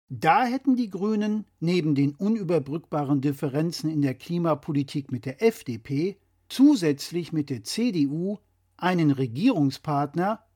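A man's voice: on the high side, a little mellow. A man presenting a scripted political explainer in a didactic, critical way.

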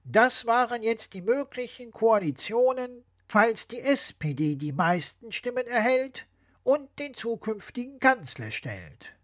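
A woman's voice: a little high, very animated, measured pace. A woman co-presenting a scripted explainer dialogue.

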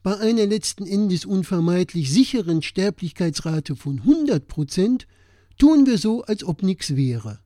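A man plays a concerned, wondering citizen in a scripted audio play. His voice sits fairly high, and the recording is dry and close, with the delivery of a satirical dialogue. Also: very animated, unhurried, mellow, no room sound. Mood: baffled.

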